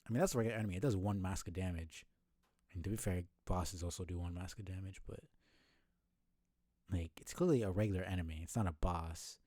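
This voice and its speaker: Low-voiced man muttering over gameplay. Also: mellow, dry recording, studio quality. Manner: casual, frustrated muttering and swearing while playing. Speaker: streamer. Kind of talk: live gameplay commentary.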